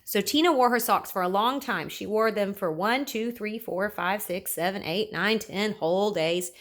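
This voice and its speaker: A woman reading a children's story aloud in an expressive, playful manner. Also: mid-range voice, moderate pace. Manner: animated, with playful repetition and sound words. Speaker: storybook reader.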